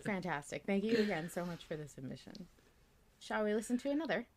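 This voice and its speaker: Chatty lady podcast host, really animated, swears a lot, super effusive. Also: medium pitch, a little fast, a little hiss or hum. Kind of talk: casual chat.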